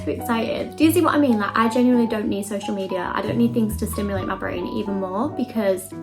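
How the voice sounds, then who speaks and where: Croaky woman chatting over music. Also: medium pitch, quick pace. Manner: chatty, animated, fills speech with "like". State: croaky voice from being sick. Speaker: vlogger. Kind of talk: casual chatty self-help talk to camera.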